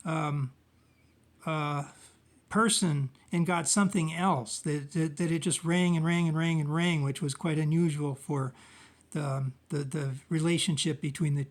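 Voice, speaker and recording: A man talking informally through his own research in a halting, rambling way, often trailing off and searching for words. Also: on the high side. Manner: halting, hesitant, rambling with frequent trailing phrases. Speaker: amateur researcher presenting own video. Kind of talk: informal monologue recounting research.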